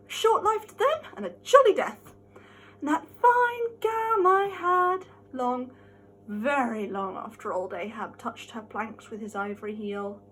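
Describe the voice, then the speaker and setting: A woman giving an amateur dramatic reading of a classic novel, expressive and emphatic, drawing out some of her words. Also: high voice, husky. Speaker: amateur reader.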